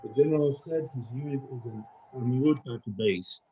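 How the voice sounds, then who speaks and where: A dutiful military radio sergeant acted by a man, medium in pitch and matter-of-fact. The drama table read has faint background noise. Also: normal speed, warm tone, dull audio, close to an everyday voice. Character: dutiful army sergeant working the command radio.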